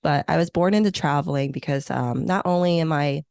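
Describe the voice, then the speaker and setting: Low-voiced woman, enthusiastic guest. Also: brisk, creaky, a little mellow, dry recording, clean studio sound. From born in Hong Kong, grew up in the U.S. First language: Chinese or Vietnamese. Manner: enthusiastic, reflective, uses many fillers. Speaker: senior meeting planner, podcast guest. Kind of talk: conversational interview.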